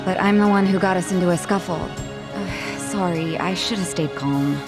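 A woman's voice: on the low side, moderate pace, over music, natural voice. An actress playing a spirited, teasing young woman sidekick in a video game. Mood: sheepish. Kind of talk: English video game voice acting.

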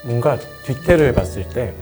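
A man's voice: mid-range voice, animated, normal speed, a little mellow, faint music behind. A man in his late twenties, a guest who sounds nervous but playful. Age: 20s.

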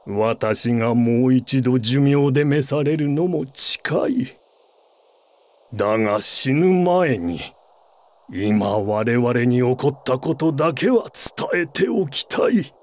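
An actor playing an ancient, wise alien elder in an anime video game, solemn, weary and gentle. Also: male voice, mid-range voice, animated, slow. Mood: grave.

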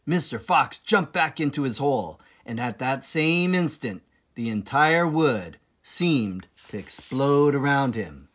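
A man narrating a children's storybook aloud in a dramatic, deliberate manner with suspenseful pauses. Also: medium pitch, animated, fairly bright tone. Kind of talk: expressive children's storybook reading.

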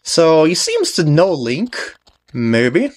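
Animated man doing jokey game commentary. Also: on the high side, slow pace. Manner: jokey, rambling, lapses into silly voices for characters. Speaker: video game walkthrough commentator. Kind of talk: casual gameplay commentary. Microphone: voice recorded on a compact camera's microphone. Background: video game music.

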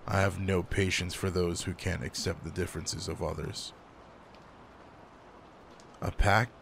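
A gruff alpha werewolf, played by a man, talks low and close in a roleplay audio drama. His voice is gravelly, slow and commanding, with a guarded, caring, teasing side. Faint rain runs behind him. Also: flat delivery, a bit bright, a little hiss or hum, exaggerated voice. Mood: exasperated, then matter-of-fact.

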